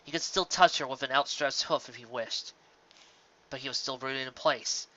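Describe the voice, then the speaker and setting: A man narrating scripted fiction in a dramatic, emphatic storytelling manner that moves between loud and hushed phrases. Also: mid-range voice, normal speed, muffled recording.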